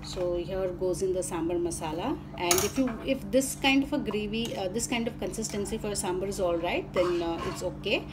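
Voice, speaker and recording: A woman's voice: medium pitch, fairly quick, hardly any pauses, very noisy. A woman with an Indian accent giving casual step-by-step recipe narration as a cooking channel host.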